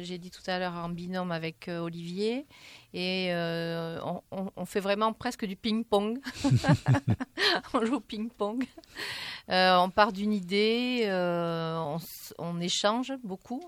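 A woman singer answering radio interview questions in a halting way, with many fillers and restarts. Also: mid-range voice, clear, resonant tone, dry recording. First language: French.

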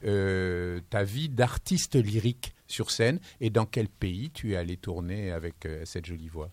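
A man's voice: sits low, a little slow, a little mellow, dry recording. A man hosting a local radio show, interviewing a guest in a chatty, joking way and often cutting in. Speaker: radio host.

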